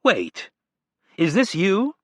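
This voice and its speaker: A man's voice: high voice, wildly swooping pitch, drawn-out words, a bit bright, no room sound, clean studio sound, voice pushed up, full character voice. A male narrator voicing an indignant middle-aged producer, flustered and huffy.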